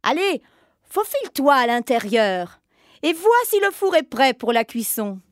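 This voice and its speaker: A woman's voice: sits high, sing-song, unhurried, no echo, clean studio sound. A woman voicing a wicked, greedy old witch in a storybook reading, cackling, honeyed one moment and hissing or snarling the next. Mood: bossy. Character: wicked old female witch, greedy, cruel, child-eating.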